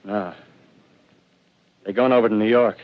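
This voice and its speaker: A man's voice: lowish voice, steady tone, measured pace, natural voice. A man playing a jumpy, territorial knife-wielding survivor. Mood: suspicious. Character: wild, territorial male survivor armed with a knife. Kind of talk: 1930s radio drama acting. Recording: dull lo-fi old radio broadcast.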